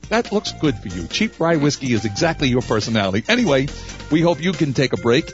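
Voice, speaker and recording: A man hosting a talk radio show, teasing his guest and throwing in quick affirmations. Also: mid-range voice, very animated, lo-fi sound, faint music behind.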